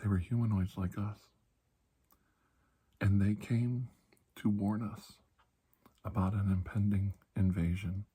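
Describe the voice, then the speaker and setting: A man playing a calm, kindly doctor in a soft-spoken ASMR roleplay, reassuring and deliberate, with long pauses and breathy slips into whispering. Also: lowish voice. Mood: hushed, confiding. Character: calm, kindly, ageless male doctor of alien medicine.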